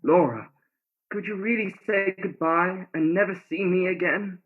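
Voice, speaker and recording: An actor playing a tender, ardent, pleading lover in a radio play, earnest and romantic in delivery. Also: male voice, high voice, a little slow. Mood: urgent, pleading. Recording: thin phone-like sound.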